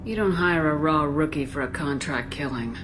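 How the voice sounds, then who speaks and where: Man acting as military commander, video game dialogue, plain-spoken, direct, concerned, rumbling noise behind. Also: moderate pace, lightly acted. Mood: steady, pragmatic. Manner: plain-spoken, probing questions.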